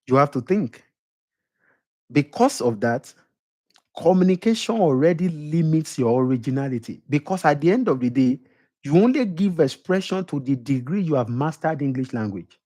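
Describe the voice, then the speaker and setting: Man, Nigerian accent, middle-aged, somewhat high pitch, warm voice, emphatic talk. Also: big pitch swings, normal speed. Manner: emphatic, repeats points, asks the audience questions. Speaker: motivational speaker. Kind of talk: lecture to an audience.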